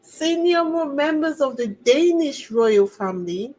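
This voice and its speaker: Brief: female channel host, unhurried delivery, higher voice, chatty and wry. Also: animated, a little mellow, a little hiss or hum. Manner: chatty, sarcastic asides with laughs. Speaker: royal news commentator. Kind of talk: casual chat to viewers.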